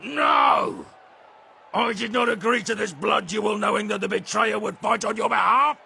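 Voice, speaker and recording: A man playing a brutish, arrogant, hot-tempered warlord in an audio drama, gravelly and snarling, with theatrical bellowing and drawn-out words. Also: sits high, bright, forceful tone, raised voice, a faint crowd, heavily acted. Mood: furious.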